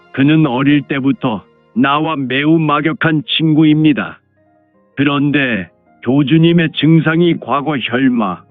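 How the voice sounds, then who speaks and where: A man's voice: medium pitch, natural voice. A man playing a hot-blooded clan lord who fawns on his superiors, speaking formally and deferentially in a martial-arts audio drama. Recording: phone-like narrow sound, music behind.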